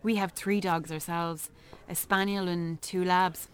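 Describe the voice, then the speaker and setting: A woman's voice: on the low side, fairly even intonation, a little slow, clean tone, close to an everyday voice. An actress in a radio drama playing a polite, chatty Irish married woman who talks plainly and sociably. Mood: chatty, curious. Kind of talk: naturalistic radio drama acting.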